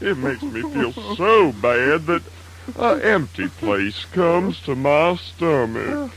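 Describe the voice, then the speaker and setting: A man's voice: fairly high pitch, sing-song, slow, vocal fry, a bit bright, heavily acted. Man acting a drawling, sly Southern trickster rabbit. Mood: mock-sorrowful, sly. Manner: drawling, folksy, mock-sorrowful. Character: sly, folksy trickster rabbit feigning sympathy. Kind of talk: children's radio theatre acting. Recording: noisy old radio drama recording.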